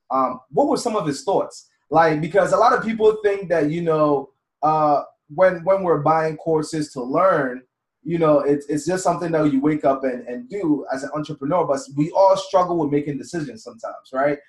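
An energetic male host draws out his guest in a casual interview. His voice is slightly high, and he leans on fillers as he frames long questions. The room has a strong echo. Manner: energetic and encouraging, with many fillers.

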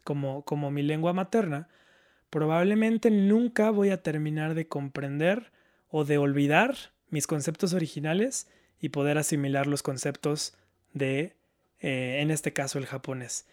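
A man's voice: on the high side, unhurried, darkish tone. A man chatting casually and reflectively, repeating words as he searches for the right phrasing. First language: Spanish. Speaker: panelist, learner of Japanese.

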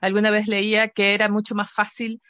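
A woman's voice: mid-range voice, completely flat delivery, a little fast, fairly bright tone, no echo. Dry-sounding woman interviewer. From Ecuador. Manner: conversational, frequent short backchannels. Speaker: interviewer host. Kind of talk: interview questions.

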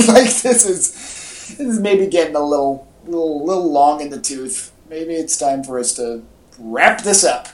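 A man's voice: a little high, lively intonation, a little slow. Echoey man chatting about pop culture. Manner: informal, enthusiastic fan talk. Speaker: podcast co-host. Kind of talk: casual pop-culture chat. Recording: echoey room podcast audio.

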